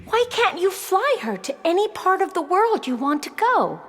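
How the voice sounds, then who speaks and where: A woman's voice: very high-pitched, big pitch swings, moderate pace, fairly bright tone, in a large hall, pitched above the performer's own voice, heavily acted. A woman voicing an earnest, wide-eyed nine-year-old girl in a children's audio play. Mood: puzzled.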